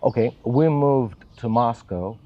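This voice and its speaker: A man's voice: medium pitch, slow, muffled recording. A middle-aged man from Russia speaking English with a Russian accent, a church assistant answering interview questions earnestly and with careful, self-taught phrasing.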